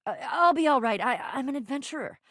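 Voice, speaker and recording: Swooping, grandiose adventurer spirit. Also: female voice, high-pitched, fast talker, no room sound, studio quality. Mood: flustered. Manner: pompous, verbose, excitable. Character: exuberant, grandiose adventurer spirit of boxes and containers. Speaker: voice actor in a video game. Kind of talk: theatrical game character dialogue.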